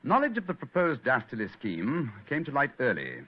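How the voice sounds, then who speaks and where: An actor playing a brisk, self-assured English gentleman detective, precise and lecturing, in theatrical 1940s radio style. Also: medium pitch, wildly swooping pitch. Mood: animated, lecturing. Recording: muffled vintage radio broadcast.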